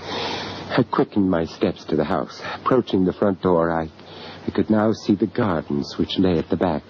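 Grave, haunted British gentleman, low voice. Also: lively intonation. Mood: mounting dread. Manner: grave, hesitant, dramatic delivery with halting repeats. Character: haunted, anxious gentleman art dealer narrating a horror tale. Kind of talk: 1970s radio horror drama narration. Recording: dull, noisy old radio recording.

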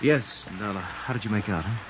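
An actor playing a guarded boyfriend under suspicion in a 1950s radio drama. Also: male voice, on the low side, a little fast, lightly acted. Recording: dull, hissy 1950s radio recording.

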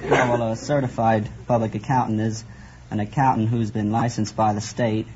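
A man's voice: fairly low pitch, flat delivery, normal speed. A young American man, a polite, earnest contestant answering a quiz host's questions. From California, United States. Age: young adult. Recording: dull noisy old radio recording.

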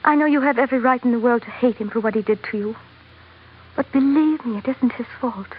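A woman's voice: a little high, quick pace, breathy edge. A woman playing a devoted, educated young sultana with an earnest manner in an old radio drama. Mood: pleading. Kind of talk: 1940s radio drama acting. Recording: dull, noisy old radio recording.

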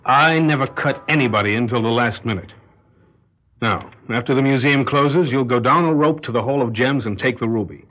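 An actor playing a shrewd, controlling criminal mastermind in a radio drama, speaking in a measured, calculating way with quiet menace. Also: male voice, medium pitch, lightly acted. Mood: businesslike, instructing. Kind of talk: classic radio drama acting. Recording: dull lo-fi radio drama recording.

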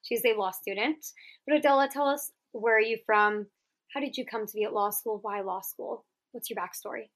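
A woman's voice: fairly high pitch, fairly quick. A woman in her twenties hosting a podcast, chatting casually and giggling often. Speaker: podcast host. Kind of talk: casual chat.

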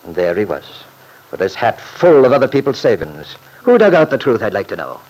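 Stubborn small-town attorney for radio soap; blustery, easily provoked, lowish and very animated. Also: male voice, brisk, rough recording. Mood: indignant boastful. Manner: blustering, indignant, rhetorical. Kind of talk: old-time radio soap opera acting.

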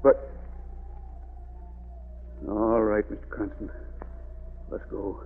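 Timid folksy country fellow, noisy radio. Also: male voice, fairly low pitch, flat delivery, drawn-out words. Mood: frightened, reluctant. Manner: folksy rustic dialect, plainspoken. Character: timid, folksy rustic shack-dwelling handyman, obliging. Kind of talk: 1940s radio acting. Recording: noisy, thin phone-like vintage radio recording.

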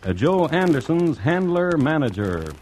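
An actor playing an urbane, wry crime connoisseur, narrating a 1950s radio series in a droll, deliberate way. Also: male voice, on the high side, big pitch swings, a little mellow, slight background noise. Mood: droll, storytelling. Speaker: host and narrator.

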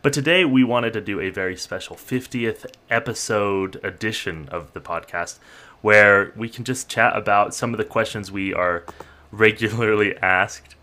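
Bright, excitable podcast man. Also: lowish voice, moderate pace. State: a little shaky and excited. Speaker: podcast co-host. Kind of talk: casual chat.

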